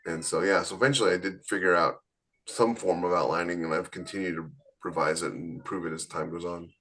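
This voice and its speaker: A man's voice: low voice, steady tone, fairly quick, a bit bright, slightly rough. A man co-hosting a podcast about writing, talking bluntly and emphatically with a sarcastic edge. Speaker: podcast co-host, author. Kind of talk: casual panel chat.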